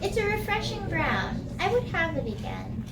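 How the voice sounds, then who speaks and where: A woman's voice: very high voice, very animated, moderate pace, creaky, very noisy. A woman tasting beer with friends, upbeat and decisive. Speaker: taster. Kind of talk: casual chat. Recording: echoey room, distant mic, loud crowd.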